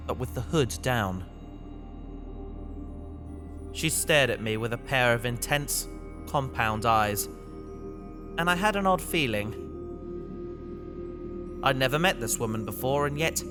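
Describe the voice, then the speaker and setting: Actor as reflective space adventurer, male, first-person narration, unhurried delivery, descriptive, audio drama, prominent music. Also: mid-range voice, natural voice. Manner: measured, descriptive. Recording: music behind the voice.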